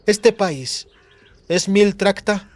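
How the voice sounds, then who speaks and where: A man voicing a quiet, calm and brooding young woman traveler, speaking deliberately. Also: high voice, no room sound, pitched above the performer's own voice. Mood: inquiring. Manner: soft-spoken, deliberate.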